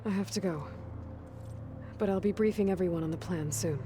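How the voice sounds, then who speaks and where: An actress playing a confident, pragmatic young heroine in a video game, plain-spoken and direct. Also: female voice, on the low side, brisk, background noise, close to an everyday voice. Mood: candid, casual. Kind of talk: video game character dialogue.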